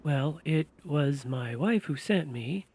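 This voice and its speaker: Radio drama client, played by a man: wary married man with a slightly high voice, unsure and full of questions. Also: animated, takes it slowly, darkish tone, slight background noise. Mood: hesitant, confused. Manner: hesitant, questioning. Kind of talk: audio drama dialogue.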